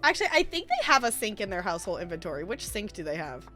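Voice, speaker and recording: A woman's voice: mid-range voice, sing-song, fast, pushed and bright, soft background music. A woman streaming a life simulation game with casual, rambling commentary, chatting to viewers and to the game's characters.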